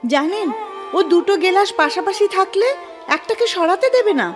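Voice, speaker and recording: An actress playing a fussy, blunt elder sister in an audio drama, worried, scolding and insistently complaining. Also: female voice, very high voice, moderate pace, big-room sound, over music. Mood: exasperated, complaining. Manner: complaining, tell-tale, insistent. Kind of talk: Bengali audio drama acting.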